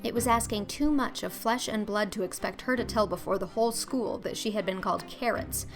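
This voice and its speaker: Female audiobook narrator: theatrical literary storytelling with some fry in the tone, over clearly audible music. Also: medium pitch, a little fast. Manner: theatrical, emphatic. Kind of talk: expressive scripted storybook narration.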